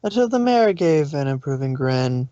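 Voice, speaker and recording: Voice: mid-range voice, big pitch swings, moderate pace, dark tone, dry recording. A narrator reading a fan fiction story aloud in an amateur production. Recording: dull, lo-fi home recording.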